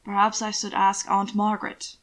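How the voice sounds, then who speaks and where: A woman's voice: medium pitch, completely flat delivery, moderate pace, lightly acted. A woman voicing a proud, probing aristocratic grandson with a dry, formal manner.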